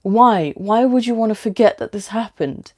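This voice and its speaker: A woman's voice: fairly high pitch, normal speed, warm tone, pitched above the performer's own voice, close to an everyday voice. A woman reading a story aloud as a frightened, irritable, demanding female passenger, with a London British accent. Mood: upset, demanding. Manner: pleading, demanding.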